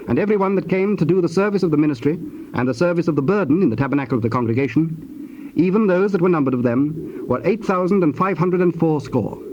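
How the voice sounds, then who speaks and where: Higher-pitched male scripture narrator, muffled tape. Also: a little mellow. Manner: formal, measured recitation. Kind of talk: scripted dramatized Bible narration. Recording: dull, noisy old cassette tape.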